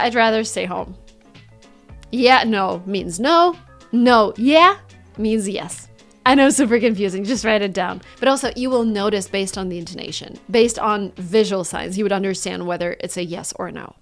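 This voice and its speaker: A woman with a Russian accent leads an online English lesson, with a voice that sits a little high. She acts out example phrases with big swings in intonation. Light background music plays under her voice. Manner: lively, demonstrating phrases with exaggerated intonation. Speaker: English teacher.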